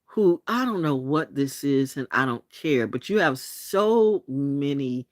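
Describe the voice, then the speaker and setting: A woman's voice: rumbling low, expressive, slow pace, no echo, clean studio sound. A woman in her late sixties, hosting a late-night vlog monologue, talking bluntly and with profanity, with laughs and restarts. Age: 60s. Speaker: channel host.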